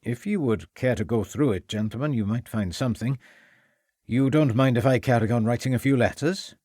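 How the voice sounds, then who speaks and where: A man voicing a loud, guarded male headmaster with a Welsh accent. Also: mid-range voice, moderate pace, no room sound, clean studio sound, close to an everyday voice.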